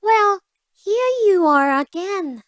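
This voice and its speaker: A woman's voice: extremely high pitch, expressive, slow pace, no room sound, studio quality, voice pushed up, heavily acted. A woman voicing a small, trusting female mouse in a storybook, eager and full of exclamation. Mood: cheerful, curious.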